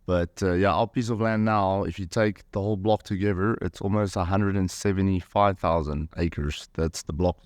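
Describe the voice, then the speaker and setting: Deep south african guy, 30s, clean smooth voice, easygoing podcast guest, lots of "pretty much". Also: steady tone, moderate pace, few pauses, darkish tone, no echo, clean studio sound. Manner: rambling, many fillers and "pretty much". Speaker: guest, professional hunter. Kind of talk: relaxed conversational storytelling.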